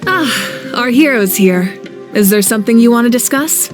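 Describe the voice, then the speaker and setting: A woman's voice: on the high side, expressive, normal speed, faint music behind, natural voice. A woman playing a grave, composed and knowledgeable leader in a video game. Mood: grave explanation.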